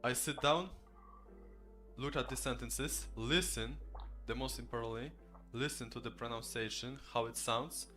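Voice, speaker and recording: A man's voice: mid-range voice, measured pace, bright, forceful tone, soft background music. Earnest male language tutor, Polish native. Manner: earnest, instructive. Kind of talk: tutorial video.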